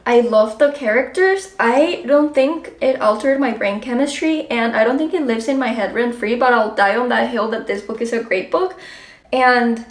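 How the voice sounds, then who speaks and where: Chatty woman, roomy echo. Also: a little high. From Colombia. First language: Spanish. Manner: chatty, frequent "like" fillers, upbeat. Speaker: book vlogger. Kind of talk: casual chat to camera.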